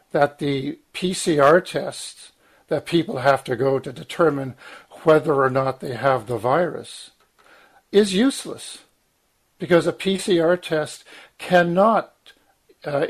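A man in his sixties, croaky from medication, giving an earnest, emphatic talk with frequent ums, restarts and throat-clearing. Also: a little high. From Lower Mainland, Canada. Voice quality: husky, clears throat often. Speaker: guest speaker, former journalist. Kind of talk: long spoken commentary, guest talk.

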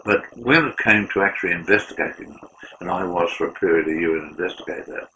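Deep-voiced older man, earnest. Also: bright, forceful tone, a little hiss or hum, poor audio. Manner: earnest, measured, with many restarts and fillers. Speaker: former UN war crimes investigator. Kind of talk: interview answer.

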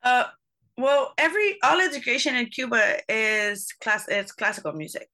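A woman's voice: a little high, normal speed, bright, forceful tone. A woman trumpet player answering interview questions, searching for words and often restarting her sentences.

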